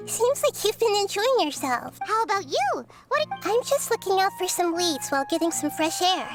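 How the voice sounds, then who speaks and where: A tiny childlike fairy girl in an anime game. The voice is extremely high and lilting, friendly and gentle, with music playing behind it. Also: very animated, normal speed, full character voice. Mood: gentle, wondering.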